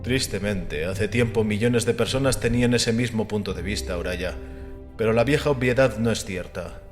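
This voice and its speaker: A man voicing a calm, assured and scornful critic of religion, measured and didactic, in a dramatized audiobook. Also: fairly low pitch, natural voice. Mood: assertive, scornful. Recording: long reverberant hall with music behind. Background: music.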